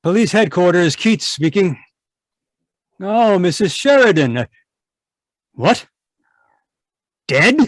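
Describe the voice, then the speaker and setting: A man playing a calm, shrewd police inspector in a radio mystery, with a polite, measured manner. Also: high voice, no echo, clean studio sound. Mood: businesslike, startled. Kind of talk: 1940s radio mystery acting.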